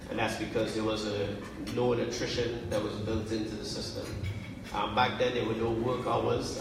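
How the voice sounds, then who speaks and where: A man in his forties gives a deliberate, measured keynote, his low voice gravelly and held to a flat, even line. He is picked up from far off in a big, very echoey banquet hall, with steady noise behind him. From Trinidad and Tobago. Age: 40s. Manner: measured, deliberate, with frequent fillers. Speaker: university president giving a speech. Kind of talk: luncheon keynote speech. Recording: distant mic in a very echoey hall, noisy.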